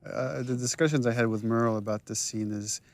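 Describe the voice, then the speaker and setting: A man, a game director, explaining his creative choices in a thoughtful, measured commentary conversation. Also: medium pitch, fairly even intonation, no room sound.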